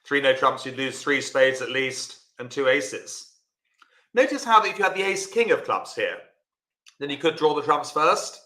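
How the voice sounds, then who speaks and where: A man's voice: mid-range voice, very animated, normal speed, sharp tone, roomy sound. A man teaching a live online lesson, talking through the play and chatting to viewers by name. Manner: chatty, talks to viewers by name while explaining. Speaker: bridge teacher.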